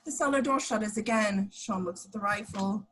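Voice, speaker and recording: A woman's voice: medium pitch, normal speed, fairly bright tone, a little hiss or hum. A woman reading action descriptions as the narrator of a scripted table read.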